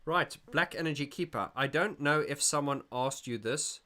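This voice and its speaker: A man's voice: fairly high pitch, normal speed, pushed and bright. A middle-aged man from South Africa hosting a casual livestream, reading viewer comments aloud and rambling with frequent restarts. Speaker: livestream host.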